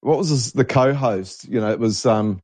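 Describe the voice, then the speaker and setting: A man's voice: medium pitch, normal speed, a little mellow, husky, dry recording. A middle-aged man, co-hosting a casual chat show and rambling as he hunts for words. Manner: rambling, restarts while searching for words. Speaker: co-host.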